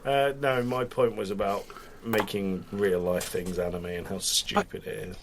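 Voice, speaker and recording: Deepish guy doing mocking quips on a casual podcast, quite noisy audio. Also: fairly even intonation, normal speed. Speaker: podcast co-host. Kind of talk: casual joking chat. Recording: clear background noise.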